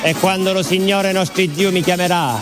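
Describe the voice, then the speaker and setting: A man's voice: sits high, fairly even intonation, moderate pace, pitched above the performer's own voice, close to an everyday voice. A man playing a bitter Italian emigrant miner in a radio theatre monologue, incantatory and half-sung, with music behind him. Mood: anguished, cursing.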